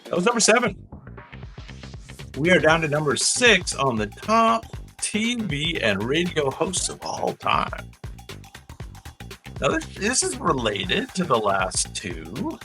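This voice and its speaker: A man's voice: a little high, huge pitch swings, slow, pauses often, sharp tone, soft background music. A man hosting a casual live trivia show, reading out facts and adding chatty, rambling asides.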